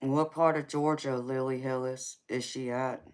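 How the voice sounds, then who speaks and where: A woman's voice: extremely low pitch, fairly even intonation, moderate pace. A woman with a Southern accent hosting a live tarot stream, chatting casually with her viewers. She laughs often and calls people baby.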